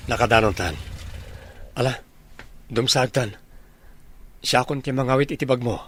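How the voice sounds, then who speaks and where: A man's voice: medium pitch, moderate pace, a bit bright, lightly acted. A man acting an easygoing homeowner in a radio drama, with an Ilocano accent. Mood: casual. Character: easygoing man, the owner of a house. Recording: noticeable background noise.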